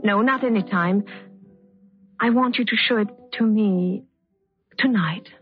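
A woman acting an anguished, secretive lover in a melodramatic 1940s-style radio drama, pleading and full of pauses. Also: mid-range voice, close to an everyday voice. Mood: fond, insistent. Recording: dull old radio recording, music behind.

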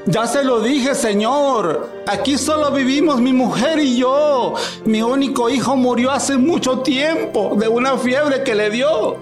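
A man's voice: very high-pitched, measured pace, over music. A man playing a frightened, pleading old peasant father in a radio drama. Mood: nervous denial. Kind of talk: amateur radio drama acting.

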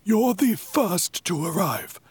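A woman playing a formal, polite but firm courier to a vampire prince in an audio drama, speaking in measured, deferential phrases. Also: fairly low pitch, very animated, darkish tone, husky, no echo, heavily acted. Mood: formal, insistent.

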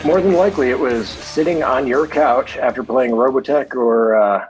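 A man's voice: mid-range voice, moderate pace, music in the background, rough recording. A middle-aged man from Michigan with an American accent, chatting casually as a podcast guest. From Michigan, United States. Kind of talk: casual chat.

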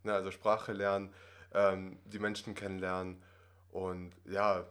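A man's voice: sits low, little pitch movement, a little slow, a bit bright. A man in his twenties who grew up in Russia, talking casually as a podcast guest, rambling with many fillers and tag questions. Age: 20s. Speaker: acting student guest.

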